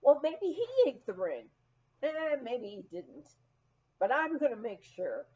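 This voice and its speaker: A woman's voice: fairly high pitch, very animated, takes it slowly, dark tone, muffled recording. A woman voicing a blunt, no-nonsense ranch innkeeper in a memoir, with a wry, exasperated manner. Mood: defiant.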